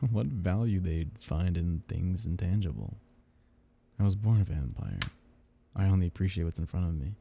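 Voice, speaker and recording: A man playing a seductive, possessive vampire captor in an intimate ASMR roleplay, teasing and condescendingly tender in a breathy, gravelly murmur. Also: low voice, lively intonation, moderate pace, warm tone, a little hiss or hum. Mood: teasing, musing.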